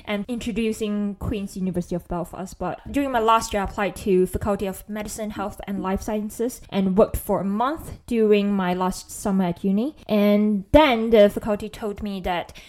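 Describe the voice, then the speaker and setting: A woman's voice: mid-range voice, fairly even intonation, moderate pace, few pauses, darkish tone. A woman, co-hosting a casual podcast chat, talking in a chatty way with many fillers and small laughs. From Malaysia. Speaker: podcast co-host.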